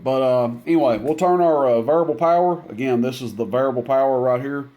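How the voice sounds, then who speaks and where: A man's voice: mid-range voice, brisk, dull audio, a little hiss or hum. A man giving a casual hands-on equipment demonstration in a plainspoken, folksy, matter-of-fact way. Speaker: CB radio seller demonstrating equipment.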